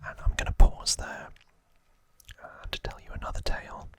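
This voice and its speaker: A man whispering as an ASMR host, telling trivia in a hushed, halting storytelling manner. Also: dry recording. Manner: hushed, slow, halting delivery.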